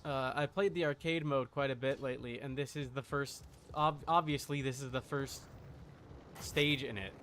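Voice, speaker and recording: A man's voice: medium pitch, steady tone, moderate pace, a bit bright, a little hiss or hum. A man giving casual, wry live commentary as he plays a video game, often muttering to himself. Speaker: game streamer.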